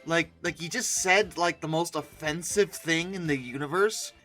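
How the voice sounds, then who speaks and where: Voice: medium pitch, moderate pace, fairly bright tone, faint music behind. A comedic online host giving sarcastic reaction commentary with theatrical mock outrage. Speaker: YouTube host reacting to images.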